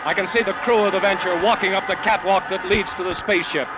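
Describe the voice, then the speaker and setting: A man playing an awed, solemn American radio news reporter in a vintage radio drama, dramatic and pausing for effect, on an old noisy recording. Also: high voice, steady tone, a loud crowd, natural voice. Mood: urgent excitement. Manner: dramatic, hushed pauses, repeats words for effect. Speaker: field news correspondent. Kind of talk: 1940s-50s radio drama live news commentary.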